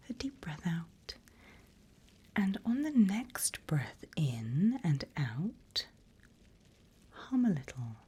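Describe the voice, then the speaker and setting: A woman playing a seasoned demon guide in an ASMR roleplay, teasing, sinister and silkily condescending, in a breathy, drawling whisper. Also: deep voice, dark tone, a little hiss or hum, full character voice. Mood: instructing, coaxing. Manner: slow, drawling, teasing, mock-sweet.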